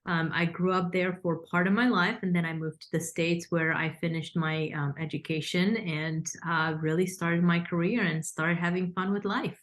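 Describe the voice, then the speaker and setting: A woman's voice: lowish voice, fairly even intonation, moderate pace, hardly any pauses, a bit bright. A woman in her thirties from Romania, a financial consultant speaking as a guest in an interview, articulate but with many fillers and restarts. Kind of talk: podcast interview.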